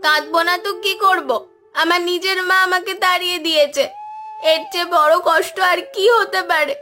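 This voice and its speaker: Shrill, bright sulky little boy voiced by a woman. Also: steady tone, moderate pace. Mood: tearful, hurt. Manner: tearful, defiant, petulant. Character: hurt, sulky runaway little village boy. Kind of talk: Bengali cartoon voice acting. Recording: music behind the voice.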